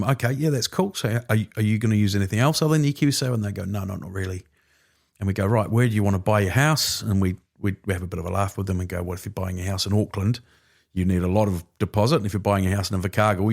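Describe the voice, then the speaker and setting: A man in his fifties with a New Zealand accent, a guest explaining finances in relaxed, chatty talk. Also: on the low side, very fast, vocal fry. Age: 50s. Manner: relaxed, chatty, frequent filler agreements. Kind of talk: casual interview chat.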